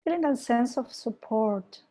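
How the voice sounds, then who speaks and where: A woman from Peru, a mindfulness teacher, giving an online talk and gently guiding a meditation over a video call. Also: fairly high pitch, expressive. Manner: slow, soft guiding voice with gentle invitations. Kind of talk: online presentation and guided meditation.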